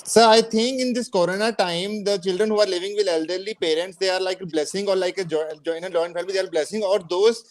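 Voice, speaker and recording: High-voiced halting man. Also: fairly even intonation. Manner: halting, restarts, addresses host as sir. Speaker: panelist. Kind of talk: online panel discussion.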